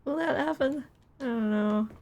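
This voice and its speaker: A woman's voice: high-pitched, moderate pace, darkish tone. A woman livestreaming a game, musing aloud and reacting with exasperation in casual commentary.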